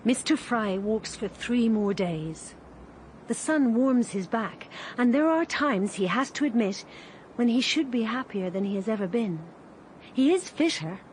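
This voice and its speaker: A woman narrating a radio drama in a measured storytelling way. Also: on the high side, lively intonation, darkish tone, a little hiss or hum, low-quality recording. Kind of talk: scripted narration.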